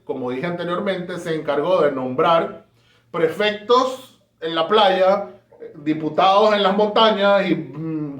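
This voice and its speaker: A man's voice: fairly high pitch, fairly bright tone. A man from Caracas with a Venezuelan accent, giving an emphatic, rhetorical talk on history. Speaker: history presenter. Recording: roomy echo.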